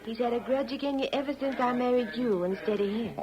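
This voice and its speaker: A woman's voice: a little high, quick pace, a crowd in the background, natural voice. A woman with an American accent playing a worried, suspicious frontier farmer's wife in an old radio drama, folksy and fretful. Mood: fretful, warning. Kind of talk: 1930s-40s radio drama acting. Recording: dull vintage radio recording.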